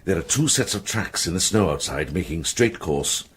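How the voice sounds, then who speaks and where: An actor playing a thoughtful, conscientious older monk in a period radio drama, speaking in a grave, measured way. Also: male voice, sits low, expressive, a bit bright, slight background noise.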